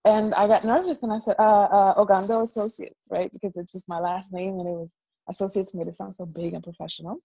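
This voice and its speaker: A woman from the Dominican Republic, a guest on a video call interview, telling stories in a lively, animated way and often repeating words. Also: medium pitch, fast talker, vocal fry, no echo, poor audio. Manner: lively, animated storyteller who repeats words as she talks. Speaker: guest, business coach. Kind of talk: casual interview storytelling. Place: dorm room.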